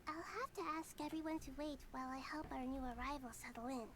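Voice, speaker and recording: A woman voicing a kind, earnest, gentle young female character in a video game. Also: sits high, quick pace, close to an everyday voice. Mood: gentle, concerned. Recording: noisy game audio under livestream.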